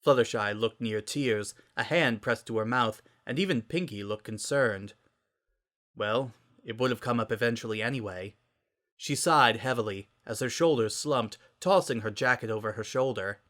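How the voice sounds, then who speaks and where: A man's voice: mid-range voice, moderate pace, a bit bright. A man reading scripted fiction aloud as a dramatic narrator.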